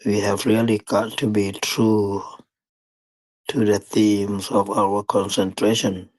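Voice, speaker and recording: Slow, low-voiced man guiding meditation. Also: level tone, a little mellow, no echo, rough recording. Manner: deliberate, halting phrasing with long pauses. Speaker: meditation teacher. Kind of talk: guided meditation dharma talk.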